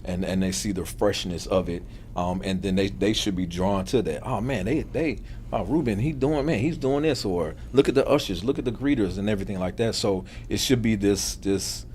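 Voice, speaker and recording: Man, 50s, low voice, eager and rambling church talk. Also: moderate pace, darkish tone, a little hiss or hum. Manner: enthusiastic, rambling with many ums. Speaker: church minister. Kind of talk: casual chat.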